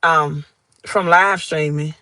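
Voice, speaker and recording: Slow-talking Southern woman on a livestream. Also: deep voice, fairly even intonation, sharp tone, no echo. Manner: chatty, reads out viewer comments, greets viewers by name. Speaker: crypto livestream host. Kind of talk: casual livestream chat. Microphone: phone held vertically.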